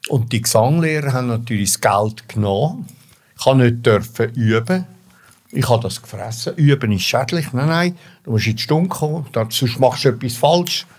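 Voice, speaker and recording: A man's voice: medium pitch, expressive, normal speed, slightly rough. An older man with a Swiss German accent tells his own story spontaneously, emphatically repeating words for stress. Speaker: interview guest telling his life story.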